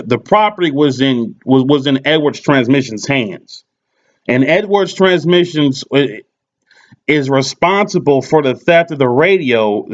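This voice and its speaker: A man making a customer complaint by phone, insistent but polite, often stammering and repeating his words. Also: mid-range voice. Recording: muffled lo-fi recorded phone call.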